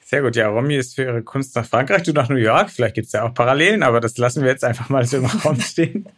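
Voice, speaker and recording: Podcast-Moderator, leicht belegte Stimme. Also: male voice, medium pitch, animated, quick pace, a bit bright. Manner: friendly, chatty moderating. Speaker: podcast host. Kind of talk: casual podcast interview.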